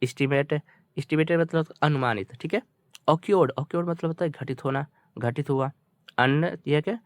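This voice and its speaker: An Indian man with a somewhat high-pitched voice works as a language teacher, going through a story in two languages. He explains phrase by phrase, repeats himself and keeps checking with short questions. The sound is dry, with very little room echo. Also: moderate pace. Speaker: English teacher. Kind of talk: bilingual storytelling lesson, translating line by line.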